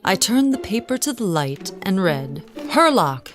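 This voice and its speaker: An actress playing a resentful, well-to-do wife, narrating formally and dramatically in an audio drama. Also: female voice, mid-range voice, sing-song, unhurried, over music. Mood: composed. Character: resentful, well-to-do wife recounting her confession.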